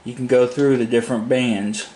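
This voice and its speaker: Flat-toned man in an echoey room. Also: medium pitch, moderate pace. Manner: plain-spoken, informal, rambling. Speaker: hobbyist product reviewer. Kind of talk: casual unscripted demo talk. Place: indoors at a home desk. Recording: echoey room, faint background noise.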